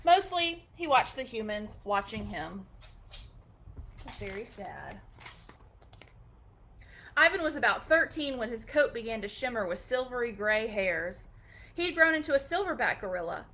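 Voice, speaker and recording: High-pitched woman reading a children's story. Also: very animated, a little slow, long pauses, pushed and bright, slight background noise. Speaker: library storytime host reading aloud. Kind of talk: read-aloud children's storybook with brief chatty asides.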